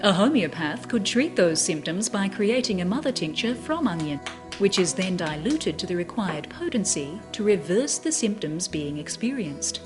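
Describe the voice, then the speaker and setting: A woman delivers scripted narration for a documentary. Her medium-pitched voice moves with lively intonation over clearly audible background music. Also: normal speed.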